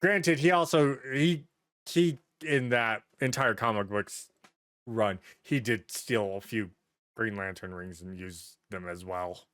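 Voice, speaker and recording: A man's voice: on the low side, takes it slowly, fairly bright tone, clean tone, dry recording. A man hosting a podcast in casual pop-culture chat, speaking in an informal, sweary, emphatic way. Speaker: podcast host.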